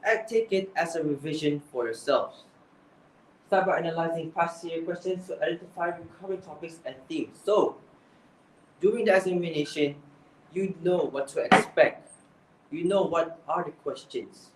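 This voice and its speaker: A man's voice: a little high, normal speed, a little hiss or hum. A young man with a Malaysian accent giving exam tips, earnest and often restarting his phrases. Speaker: English speaking coach. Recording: echoey room, voice from far off.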